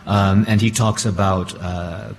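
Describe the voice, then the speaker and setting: A man's voice: lowish voice, steady tone, slow, creaky. A man speaking informally as a creative artist on a discussion panel. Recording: live room with background noise.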